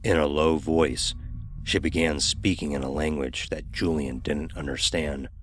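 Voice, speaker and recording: A man narrating a scripted horror story in a measured, dramatic, ominous manner. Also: rumbling low, steady tone, background noise.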